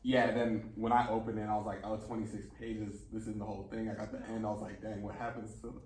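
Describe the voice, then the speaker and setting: A man's voice: on the low side, flat delivery, fast talker, darkish tone. A man, an actor, chatting casually and using slang on a panel. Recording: echoey room, distant mic.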